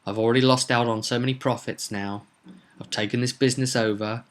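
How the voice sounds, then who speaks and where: Irritated young male shopkeeper voiced by a man, mid-pitched, brusque, everyday delivery for a tabletop tale. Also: fairly even intonation, fairly quick, lightly acted. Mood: weary, brusque.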